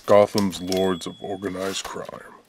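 A man announcing scripted radio drama captions in flat, clipped phrases, with typewriter clatter behind. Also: low voice, takes it slowly, background noise.

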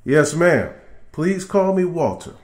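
A gentle older street musician read by a man, courteous and easygoing. The pitch is a little high and moves expressively, in the manner of a children's storybook. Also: sing-song, takes it slowly, roomy sound.

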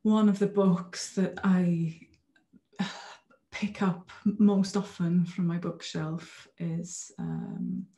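A woman's voice: lowish voice, sing-song, drawn-out words, vocal fry, dark tone. A Welsh woman in her thirties, a Welsh-first-language author, speaking thoughtfully and with hesitations on a video call from a small room at home. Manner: thoughtful, hesitant, repeats words as she thinks. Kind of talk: relaxed interview talk.

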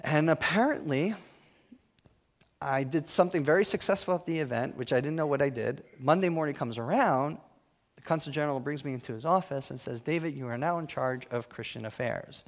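A man's voice: fairly high pitch, fairly quick. Man telling stories in a church hall. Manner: humorous, self-mocking anecdotes. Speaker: guest speaker. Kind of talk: storytelling talk.

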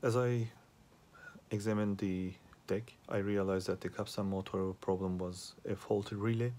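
A man giving an unscripted troubleshooting demonstration as a hobbyist repairer, speaking haltingly with frequent pauses and hesitations. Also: fairly low pitch, fairly even intonation, mellow, a little hiss or hum. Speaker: hobbyist repairer asking viewers for help. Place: small indoor workspace at a repair bench.

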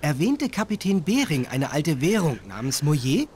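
A polite, hardy teenage boy detective in an adventure game. The voice is high and very lively in pitch, over faint outdoor ambience. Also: moderate pace, a little hiss or hum. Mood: polite, upbeat. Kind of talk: scripted adventure game dialogue.

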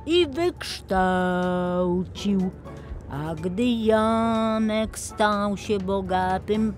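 Woman as a very slow storytelling toy digger. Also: mid-range voice. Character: toy digger historian, dreamy unhurried storyteller. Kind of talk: children's audio tale acting.

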